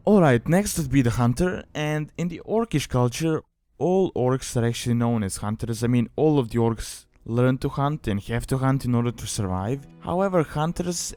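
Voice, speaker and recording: Man, medium pitch, clear smooth voice, casual tutorial narration, rambling delivery full of verbal fillers, music underneath. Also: darkish tone. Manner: rambling, peppered with "you know" and "like". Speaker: guide host, gaming video maker. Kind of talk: casual explanatory tutorial voice-over.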